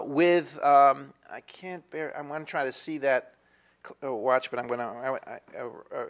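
A middle-aged man lecturing on history, his voice sitting a little high for a man. He rambles, restarts his sentences and fills the gaps with uh and you know. Now and then he turns to ask the audience a question. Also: a bit bright. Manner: discursive, many fillers and restarts, asks the audience questions. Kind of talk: university lecture. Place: lecture hall. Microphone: moves away from the microphone at times.

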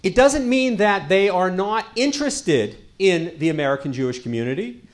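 A middle-aged man, a rabbi, giving a lecture to a community audience into a handheld microphone, with a loud voice and a habit of repeating key lines for emphasis. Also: high-pitched. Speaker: rabbi and guest speaker.

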